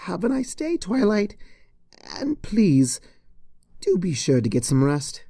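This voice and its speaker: A reader voicing a concerned, polite female pony schoolteacher with a gentle, hesitant manner in a storybook reading. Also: mid-range voice, huge pitch swings, slow, breathy edge, dry recording, studio quality, pitched above the performer's own voice, full character voice. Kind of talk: storybook character voice in fan fiction reading.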